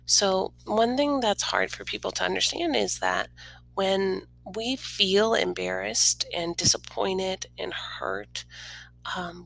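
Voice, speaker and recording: A woman reading a children's picture book aloud expressively, pausing to explain things simply and informally to young listeners. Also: medium pitch, gravelly, sharp tone, breathy edge, dry recording, low-quality recording. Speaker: read-aloud storyteller.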